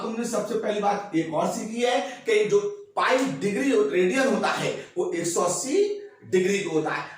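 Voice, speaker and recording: A man teaching a maths lesson, explaining emphatically with repetition and rhetorical questions. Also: sits high. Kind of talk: spoken classroom lesson. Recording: very echoey room, distant mic.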